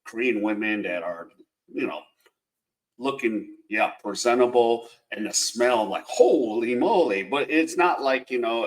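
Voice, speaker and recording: A man's voice: medium pitch, rough recording. A man hosting a reaction video, talking casually with lots of fillers and rambling asides. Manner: rambling, filler-heavy, animated.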